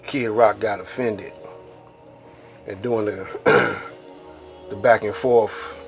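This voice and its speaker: An American man hosts a casual, unscripted monologue in a low voice. He takes his time, speaking very slowly and haltingly in rambling street talk. Faint music plays behind him. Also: fairly even intonation, creaky. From Detroit, Michigan, United States. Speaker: video host.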